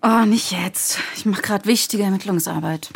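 A woman's voice: medium pitch, moderate pace, gravelly, slight background noise, lightly acted. A woman playing a grumpy, hungover police inspector in a radio play, weary and offhand. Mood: annoyed. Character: hungover, grumpy hard-drinking female police inspector, late thirties. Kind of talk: radio play table read.

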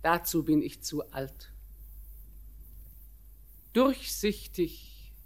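An actress playing an old blind grandmother in a classic radio play, speaking measured verse. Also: low-pitched, sing-song, heavily acted. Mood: solemn. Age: older. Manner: slow, measured verse speech.

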